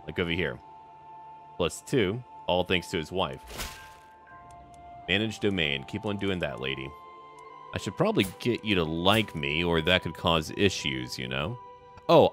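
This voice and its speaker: Deep-voiced man, theatrical game commentary. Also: a bit bright. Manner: theatrical, musing aloud in short clipped phrases. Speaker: gamer narrating a strategy game playthrough. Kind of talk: in-character roleplay game commentary. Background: music.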